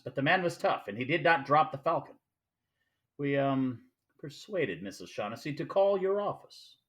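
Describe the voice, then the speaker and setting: An actor playing a courtly, verbose, calculating crime boss in a radio play, with a pompous, formal and elaborately polite manner. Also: male voice, mid-range voice, fairly bright tone. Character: courtly, verbose, calculating male criminal mastermind. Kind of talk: 1940s-style radio play acting.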